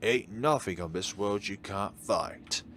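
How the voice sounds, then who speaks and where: Man doing a drowsy, low-voiced old village elder. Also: slow pace, fairly bright tone, a little hiss or hum. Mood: cryptic, weary. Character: drowsy, cryptic old male village elder, rough-spoken.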